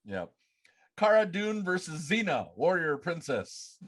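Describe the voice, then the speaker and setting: High-pitched male podcast host. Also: sing-song, unhurried. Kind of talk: casual chat, quick-fire questions. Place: home room, on a video call. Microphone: video call.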